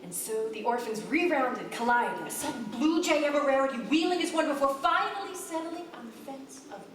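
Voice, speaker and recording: Higher-voiced woman reader, theatrical narration, far from the mic in a very echoey hall. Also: animated, brisk, a crowd in the background. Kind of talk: live ensemble reading of a novel. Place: theater stage.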